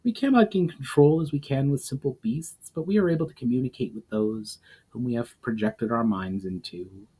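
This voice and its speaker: A man's voice: mid-range voice, normal speed, dark tone, husky, natural voice. A man voicing a composed, knowing elf woman in a fantasy story, explaining with poise. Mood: calm, knowing.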